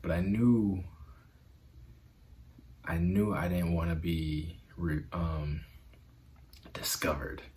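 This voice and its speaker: A man's voice: low voice, little pitch movement, slow, long pauses, a little mellow, a little hiss or hum. A man vlogging in an earnest, conversational way about self-acceptance, with a stutter. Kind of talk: motivational vlog talk.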